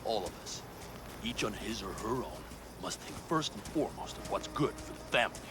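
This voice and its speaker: An actor playing a stern, solemn nomad clan leader who speaks in a measured, formal way in a video game. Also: male voice, lowish voice, sing-song, noisy background. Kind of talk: cinematic video game acting.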